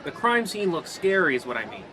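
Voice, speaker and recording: A man's voice: fairly high pitch, big pitch swings, fairly quick, background noise. A man playing a dutiful, earnest police officer in a comedy radio play, deferential and a bit exasperated.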